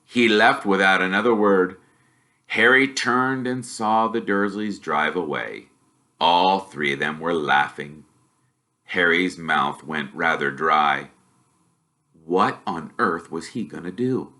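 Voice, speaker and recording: A man reading a story aloud as expressive scripted narration. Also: low voice, slow. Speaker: storybook reader.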